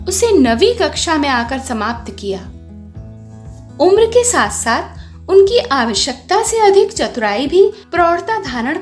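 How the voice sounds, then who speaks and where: A woman reads a literary story aloud in a high, lively voice that moves freely up and down. Her delivery is slow and expressive, like an audiobook narrator telling a tale.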